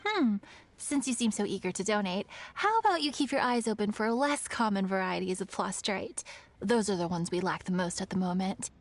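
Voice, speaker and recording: Measured, courteous merchant woman. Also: medium pitch, expressive, no room sound. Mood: polite, instructive. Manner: measured, explanatory. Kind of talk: scripted video game dialogue.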